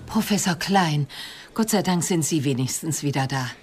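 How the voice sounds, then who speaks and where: A woman acting in a radio drama as an anxious, dismayed university secretary with a worried, breathy, questioning delivery. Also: deep voice, expressive, moderate pace, darkish tone, faint music behind. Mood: anxious, shocked.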